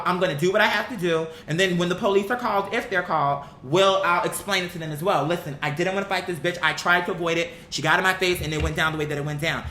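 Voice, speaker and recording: A blunt commentary vlogger with a bright, forceful voice at a middling pitch. The talk is unscripted, slangy and profane, delivered with heavy emphasis straight to viewers. The room rings with echo, with faint noise underneath.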